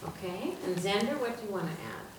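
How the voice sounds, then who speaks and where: A woman's voice: medium pitch, lively intonation, fairly quick. A woman leading a children's message at church, speaking simply and prompting the group with questions and recaps. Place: large indoor church space during a service. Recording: echoey, noisy church recording from far off.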